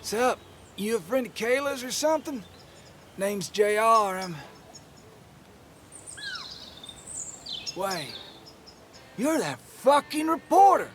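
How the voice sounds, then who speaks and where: A man's voice: very high-pitched, sing-song, measured pace, pauses often, fairly bright tone, a little hiss or hum, exaggerated voice. An actor playing a volatile, foul-mouthed male troublemaker in a video game, with a sneering, aggressive manner. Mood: suspicious. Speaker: hostile local character.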